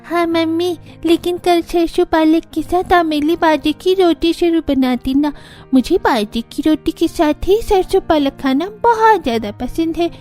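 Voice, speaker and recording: A woman's voice: very high-pitched, unhurried, darkish tone, soft background music, pitched above the performer's own voice. A woman voicing an eager little child with a pleading manner in a cartoon story. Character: eager little child asking mother for food.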